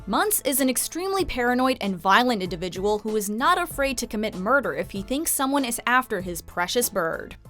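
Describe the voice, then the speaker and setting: Countdown host: young woman, expressive scripted narration over music. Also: a little high, brisk, hardly any pauses. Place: studio voice-over.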